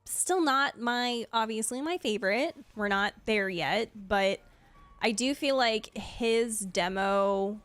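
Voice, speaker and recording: A woman's voice: on the high side, slow, fairly bright tone, no echo. A woman giving casual, chatty reaction commentary as a video creator, rambling along with gushing asides. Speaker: video creator and game streamer. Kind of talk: casual reaction commentary, ranking game trailers. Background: faint music.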